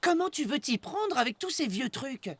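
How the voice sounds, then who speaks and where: A woman's voice: high-pitched, expressive, normal speed, noisy background. A woman playing a sensible, grumbling child in a cartoon, with a dry, reproachful manner. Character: sensible, grumbling child who loves stargazing. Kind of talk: animated children's cartoon dub. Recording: music clearly behind the voice.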